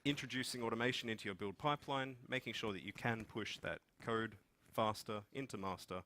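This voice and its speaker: Aussie guy doing an informal conference talk, lowish voice, bright and forceful. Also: fairly quick, a little hiss or hum. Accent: Australian. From Australia. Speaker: conference speaker, software development manager. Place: meeting room with seated audience, indoors.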